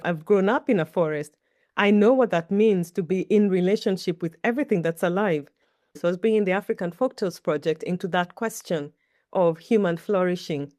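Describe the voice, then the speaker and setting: A woman's voice: medium pitch, a little mellow, dry recording, studio quality. A middle-aged Kenyan woman, a native Kikuyu speaker, answering interview questions in a thoughtful, storytelling way. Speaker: guest. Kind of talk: reflective interview talk.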